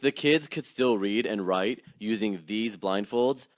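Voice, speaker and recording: A man reading scripted documentary narration in complete, measured sentences. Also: lowish voice. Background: music.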